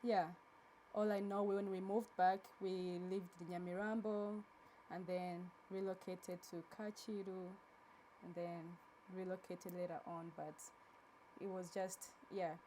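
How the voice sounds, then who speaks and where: A woman in her thirties from Uganda, a guest on an interview, telling her story in a relaxed, soft-spoken way. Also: on the low side, measured pace, slight background noise, rough recording. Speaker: interview guest, charity co-founder. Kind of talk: casual interview.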